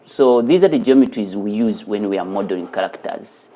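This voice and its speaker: A man, a studio founder and teacher, talking in an earnest, unhurried way with small restarts in a documentary interview. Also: lowish voice, expressive. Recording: interview over loud background music.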